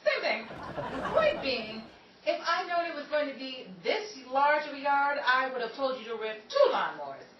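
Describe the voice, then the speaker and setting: A woman's voice: high-pitched, sing-song, moderate pace, a crowd in the background. A woman playing an exasperated, sarcastic aspiring Hollywood dancer of the 1930s in an old-time radio comedy style. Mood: grumbling. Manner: sarcastic, punchline-driven complaining. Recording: roomy, distant, dull, noisy amateur recording.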